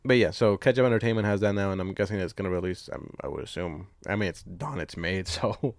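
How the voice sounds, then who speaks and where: A man's voice: deep voice, fast, dry recording, clean studio sound. A man co-hosting a casual comedy podcast, joking sarcastically and swearing freely as he chats. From Dominican heritage. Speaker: podcast co-host. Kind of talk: casual comedic banter about movie news.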